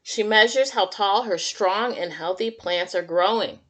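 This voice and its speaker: A woman's voice: medium pitch, unhurried, fairly bright tone. A woman teacher reading a picture book aloud to young children, adding simple, encouraging comments and questions as she goes. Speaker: kindergarten teacher.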